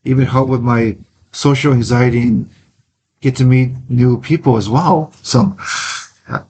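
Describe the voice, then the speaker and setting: A young man from Wisconsin speaks as an interview guest at a medium pitch. He talks earnestly and haltingly, stopping often to restart his sentences. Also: a little mellow, slight background noise, poor audio. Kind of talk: casual interview talk.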